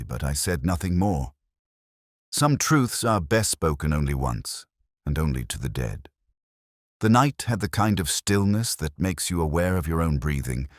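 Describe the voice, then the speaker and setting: A man narrating a scripted detective story as a brooding police inspector, in a measured, solemn, literary way. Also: a bass voice, dry recording, studio quality.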